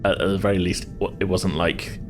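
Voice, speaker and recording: Low-voiced man game-mastering over music. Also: fairly even intonation. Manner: halting, chatty, explanatory. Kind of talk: improvised tabletop game narration. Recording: music playing behind the voice. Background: background music.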